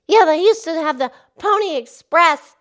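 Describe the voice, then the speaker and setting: Podcast cartoon pig, played by a woman: high-pitched, colloquial, exclaiming, eager and folksy. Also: animated, moderate pace, fairly bright tone. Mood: cheerful. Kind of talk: playful podcast banter.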